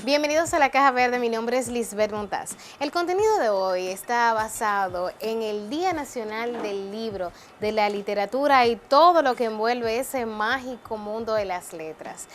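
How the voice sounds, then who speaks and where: A woman with a Dominican accent presents as a TV host in a slightly high voice. Her delivery is a scripted introduction, with faint ambient noise behind her. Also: expressive, moderate pace, hardly any pauses. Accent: Dominican Spanish. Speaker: TV show host.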